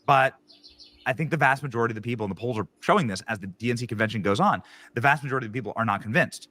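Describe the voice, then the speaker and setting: A male commentator speaks bluntly and with open mockery. His voice is bright and forceful, at a middle pitch for a man. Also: rapid-fire. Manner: blunt, mocking. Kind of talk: opinion commentary.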